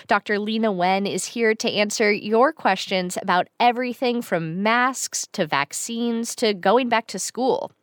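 A woman hosting a children's radio show delivers her lines in a clear, friendly, measured way. Her voice sits at a middling pitch, and the studio sound is very dry. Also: no room sound. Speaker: guest radio host. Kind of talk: scripted radio hosting for kids.

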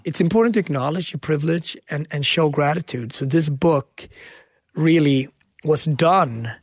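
A man's voice: on the high side, moderate pace, husky, no echo, studio quality. A man from Sweden, a chef and author, answering questions earnestly in a radio interview. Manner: earnest, reflective, frequent "right?" tags.

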